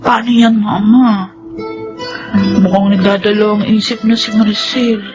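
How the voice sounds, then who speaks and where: An actress playing a meek, sorrowful, respectful wife in a radio drama. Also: female voice, mid-range voice, little pitch movement, measured pace, over music, natural voice. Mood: apologetic, sorrowful. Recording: dull, faintly noisy radio drama track.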